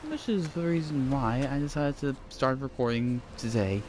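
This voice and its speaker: A man's voice: mid-range voice, moderate pace, darkish tone, slight background noise. A man with a mild British-style accent, chatting in a rambling, self-conscious way as he plays and reads aloud. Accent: mild British-style accent picked up from TV. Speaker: let's play gamer. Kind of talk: casual gameplay commentary and reading aloud. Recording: faint game music behind.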